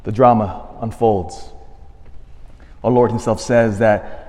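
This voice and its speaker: A man, a priest, giving a homily with a storytelling manner, dropping to a hush and rising to animated emphasis. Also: lowish voice, slow pace. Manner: storytelling, swings from hushed to animated. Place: church. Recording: long reverb of a church.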